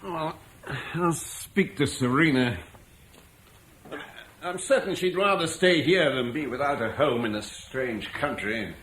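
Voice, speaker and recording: A man's voice: on the high side, slow pace, a bit bright, breathy edge, full character voice, poor audio. An actor playing Julian, a proud landowner refusing to leave his home, in an old radio play. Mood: uneasy. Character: proud Romano-British landowner Julian, stubborn. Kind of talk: 1950s BBC radio drama acting.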